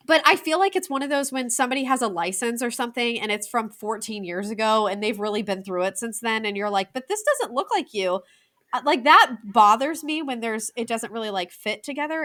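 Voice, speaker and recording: An American woman in her thirties chats through a film review podcast with a bright, lively voice that leaps up and down in pitch. She talks in a chatty, excitable way, full of quick asides. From United States. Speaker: podcast co-host. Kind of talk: casual film review chat.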